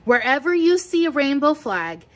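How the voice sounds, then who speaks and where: A woman reading a children's picture book aloud with expressive, deliberate storytelling delivery. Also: sits high. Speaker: read-aloud teacher.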